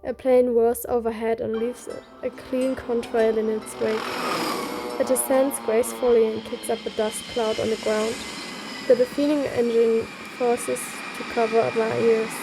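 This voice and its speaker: A woman's voice: a little high, little pitch movement, measured pace, low-quality recording. A woman reading a game story aloud, both the narration and the dialogue. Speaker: reader. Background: faint music.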